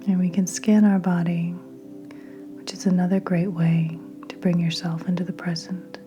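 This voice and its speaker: A woman guiding a meditation in a soft, breathy near-whisper, gentle and soothing, with long unhurried pauses. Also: fairly low pitch, little pitch movement. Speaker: podcast host and meditation guide. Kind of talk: guided meditation narration. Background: soft meditation music with singing bowls.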